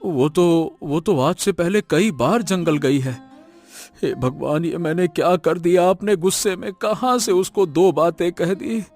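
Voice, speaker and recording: A man's voice: high voice, a little slow, darkish tone, faint music behind. A man playing a worried, regretful village woodcutter in a cartoon story, lamenting and anxiously repeating himself. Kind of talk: cartoon moral story acting.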